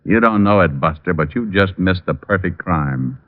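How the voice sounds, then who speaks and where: Wisecracking hard-boiled male gumshoe, lowish voice, slangy and needling, vintage radio drama, muffled broadcast sound. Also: lively intonation, normal speed. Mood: confident, accusing. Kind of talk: 1940s-50s radio crime drama acting.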